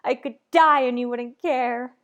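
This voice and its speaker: Nervous, demanding wife played by a woman for a retro radio thriller: high voice, slow dramatic delivery, overwrought. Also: fairly even intonation, muffled recording, noisy background, lightly acted. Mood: desperate, indignant. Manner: overwrought, imperious, melodramatic. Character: frantic, nervous, demanding married woman home alone.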